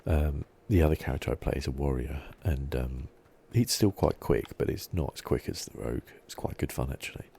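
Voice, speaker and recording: Man, very low pitch, mellow tone, casual ASMR gameplay commentary, game ambience behind. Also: a little fast, vocal fry, few pauses, a little hiss or hum. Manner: soft-spoken, casual, musing. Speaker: gaming channel host.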